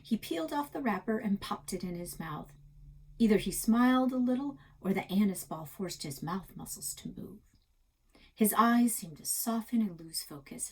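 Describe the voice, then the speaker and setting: A woman's voice: medium pitch, moderate pace, darkish tone, husky, low-quality recording. Woman reading a children's book aloud. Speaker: library storyteller. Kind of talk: expressive children's book read-aloud narration.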